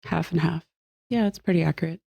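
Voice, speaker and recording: Low-voiced woman with a nasal cold. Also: a little fast, gravelly, dark tone, slightly rough. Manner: chatty, upbeat, with frequent fillers. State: recovering from a cold, a little nasal. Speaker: podcast host. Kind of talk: casual community podcast chat. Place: indoors at a table with guests.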